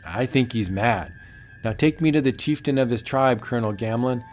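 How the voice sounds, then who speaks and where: A man's voice: mid-range voice, moderate pace, a little hiss or hum, close to an everyday voice. A haughty young colonial officer from a vintage comic audio drama, played by a man. He is arrogant and skeptical, issuing commands like a bully who expects to be obeyed.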